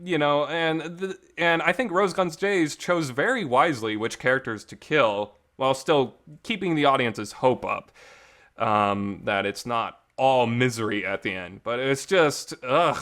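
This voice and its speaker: Bright-voiced man in emphatic casual talk. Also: medium pitch, a little slow. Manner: emotional, emphatic, mild swearing. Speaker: host of a visual novel review show. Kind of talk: casual unscripted review talk. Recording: reverberant amateur home recording.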